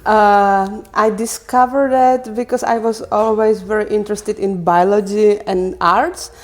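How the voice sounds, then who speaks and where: Woman, mid-pitched voice. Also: a little mellow. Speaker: podcast guest, landscape design teacher. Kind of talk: casual interview answers.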